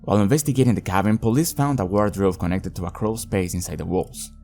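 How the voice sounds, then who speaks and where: A man's voice: sits low, fast talker, vocal fry, darkish tone, soft background music. A man hosting a horror video review, moving between scripted narration and casual commentary. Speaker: host of a video reviewing an analog horror series.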